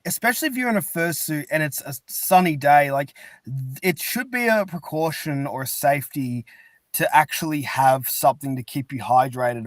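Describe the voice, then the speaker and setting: A man's voice: mid-range voice, no echo. A man chatting casually as a podcast host, rambling and informal. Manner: rambling, informal, restarts thoughts. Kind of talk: casual chat.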